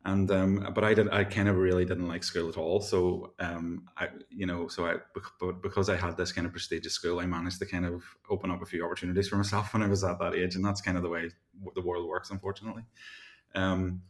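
A man's voice: low voice, level tone, quick pace. A man, chatting as an interview guest over a video call, rambling with lots of fillers and laughs. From Ireland. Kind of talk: casual interview chat.

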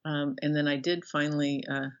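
A middle-aged American woman being interviewed as an author, speaking thoughtfully and haltingly as she searches for words. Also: deep voice, level tone, dull audio. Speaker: interview guest, author and professor. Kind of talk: reflective interview conversation.

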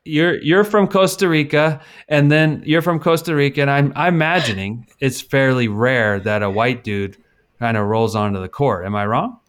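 A middle-aged man co-hosting a podcast, asking curious questions in relaxed chat. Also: medium pitch, normal speed, smooth. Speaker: podcast co-host. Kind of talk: casual interview chat.

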